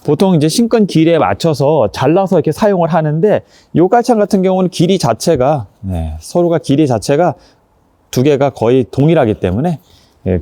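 A man's voice: on the high side, dark tone, no room sound. A man presenting a casual hands-on review, explaining each step politely as he demonstrates.

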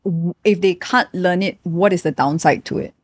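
A young woman, hosting a podcast and asking quick follow-up questions on a studio mic. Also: on the low side, slightly rough, no echo. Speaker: podcast host. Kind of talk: casual interview. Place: studio set. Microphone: boom-arm studio microphone.

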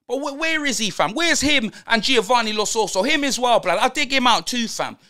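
A man's voice: extremely high pitch, lively intonation, a little fast, pushed and bright, exaggerated voice. A man playing a brash young London roadman football fan, ranting in heavy slang and tagging lines with blood and fam. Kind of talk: comedic football rant.

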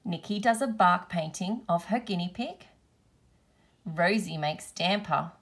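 A woman reading a children's picture book aloud in measured, deliberate phrases. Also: fairly low pitch, husky. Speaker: storybook reader, teacher.

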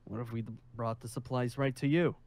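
A man's voice: mid-range voice, steady tone, fairly quick, darkish tone, lightly acted. A man playing a smug, menacing colonel who leads a survivor haven, with a commanding, persuasive manner in improvised game roleplay.

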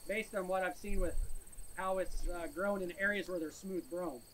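A high-pitched man explains findings in a measured, steady way outdoors. Insects and outdoor noise sit clearly behind his voice on an open prairie. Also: rough recording. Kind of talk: outdoor field day Q&A.

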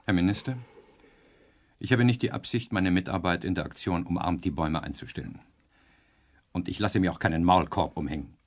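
Low, husky, principled professor. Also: male voice. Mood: defiant, earnest. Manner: earnest, measured, formal. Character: principled, defiant professor serving as civil servant. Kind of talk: German radio drama acting.

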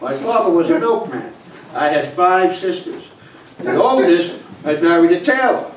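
Actor as a high-voiced stubborn old grandfather onstage. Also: big pitch swings, moderate pace. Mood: stubborn, storytelling. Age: older, seventies or over. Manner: dry, argumentative. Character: stubborn, thrifty 82-year-old immigrant grandfather. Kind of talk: live comic stage play acting. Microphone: distant camera microphone in the audience. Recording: distant, echoey stage recording with noise.

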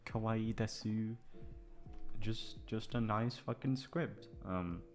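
A man's voice: on the low side, takes it slowly, soft background music. A man chatting casually and off the cuff, rambling and self-deprecating with some swearing. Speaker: audio roleplay voice actor. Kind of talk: casual unscripted outro chat.

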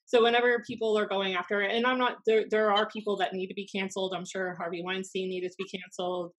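Woman, thirties, American accent, mid-pitched voice, blunt storyteller, animated casual podcast chat. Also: quick pace, low-quality recording. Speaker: podcast host. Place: small room at home, indoors. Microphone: desk podcast microphone on video call.

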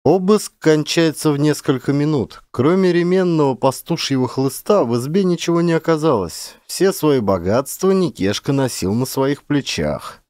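A man's voice: fairly high pitch, animated, moderate pace, few pauses, darkish tone, no room sound, studio quality. A man narrating a literary story in a dramatic, scripted style. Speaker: audiobook narrator, actor.

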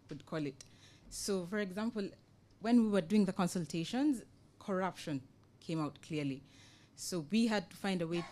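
Young woman, Kenyan accent, fairly low pitch; NGO panellist presenting informally, measured and explanatory. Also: mellow. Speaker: NGO project officer, panel speaker. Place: conference room, indoors, panel table. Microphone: table microphone on a stand.

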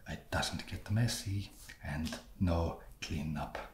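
An awkward, fussy and faintly creepy vampire played by a man speaks extremely slowly in a low voice. He halts and hesitates softly, in an ASMR roleplay recorded with roomy echo. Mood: awkward, ingratiating. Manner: halting, hesitant, softly spoken. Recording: roomy echo, loud background noise.

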